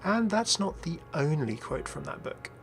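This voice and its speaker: A man's voice: a little high, sing-song, moderate pace, gravelly, a bit bright, soft background music. A man presenting explanatory video game commentary as a voice-over. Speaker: video host.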